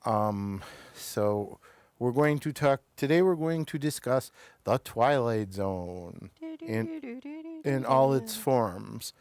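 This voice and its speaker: A man's voice: medium pitch, huge pitch swings, takes it slowly, darkish tone. A man with an American accent, hosting a casual talk show in a hesitant, wandering way, often trailing off mid-sentence.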